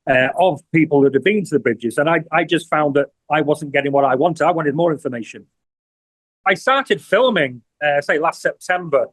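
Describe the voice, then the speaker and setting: A man's voice: fairly high pitch, no echo, rough recording. An English man in his sixties speaks earnestly in a slightly raised register, often stumbling and repeating a word before he finds the next. The sound is close and dry, with no room around it. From England. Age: 60s. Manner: earnest, halting, repeats words while searching for them. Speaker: community TV producer, award honoree. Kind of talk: interview testimonial.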